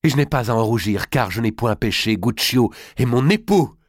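A passionate young noblewoman as a male storyteller puts her on. The voice is lifted high and pushed with vehement emphasis. She is proud and defiant, in a dry audiobook recording. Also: animated, moderate pace, fairly bright tone, no echo. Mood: indignant, vehement. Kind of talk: dramatised audiobook dialogue.

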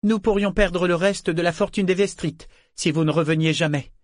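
A sceptical, guarded grown woman in an audiobook, acted out by a male reader. Her voice sits high. The recording is dry and close. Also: normal speed, no room sound, lightly acted. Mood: sceptical, worried. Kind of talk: audiobook character dialogue.

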